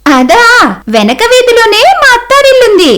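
A woman voicing a jealous, meddling young married woman with a sharp, bossy, complaining manner in a cartoon moral story. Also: extremely high pitch, sing-song, moderate pace, voice pushed up. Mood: breezy.

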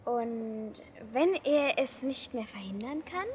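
A woman's voice: on the high side, animated, takes it slowly, darkish tone, a little hiss or hum. A woman playing a sensible young child among a gang of friends in a children's radio play.

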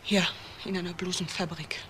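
A woman plays a reticent young woman of twenty in a crime radio drama. She answers tersely and quietly in a tense, bright voice, with faint noise around her. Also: fairly low pitch, steady tone, normal speed, natural voice. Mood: subdued, reticent. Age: young adult. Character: reticent, shaken twenty-year-old female seamstress.

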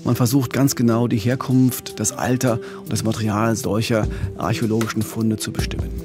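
A man's voice: lowish voice, little pitch movement, fairly quick, music in the background. A middle-aged man co-hosting a true-crime podcast in a conversational narrating style, on a studio microphone. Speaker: podcast co-host. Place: small radio studio. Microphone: studio microphone with pop filter, headphones.

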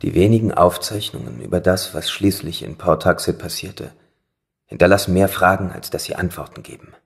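A man's voice: sits low, a little fast, vocal fry. An actor playing a studious, preoccupied young man absorbed in research in an audio drama. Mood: grave.

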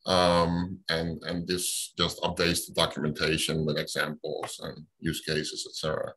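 Deep gravelly Dutch-native man. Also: completely flat delivery, a little slow, fairly bright tone, low-quality recording. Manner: hesitant, with fillers. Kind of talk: casual meeting chat. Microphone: video call.

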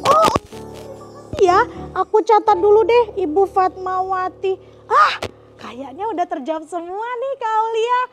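A woman's voice: very high-pitched, expressive, a little slow. A young woman hosting a children's show, chatting playfully in exaggerated, wondering tones as she addresses the children directly. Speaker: children's show host and storyteller. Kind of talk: playful storytelling chat with children. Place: TV studio stage with children seated around. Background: music.

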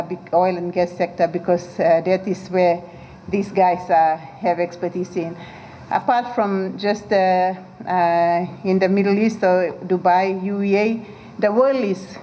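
A woman from Papua New Guinea giving casual interview remarks, thoughtful and pausing with fillers. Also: lowish voice, dark tone, a little hiss or hum, low-quality recording.

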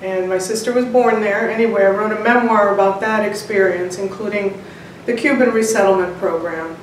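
A woman in her sixties telling her personal story in an interview, measured and reflective, with pauses. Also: mid-range voice, steady tone. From United States, born in Cuba. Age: 60s. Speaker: interviewed home cook and author. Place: indoors, bright home room with large windows. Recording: very echoey room, distant mic, faint noise.

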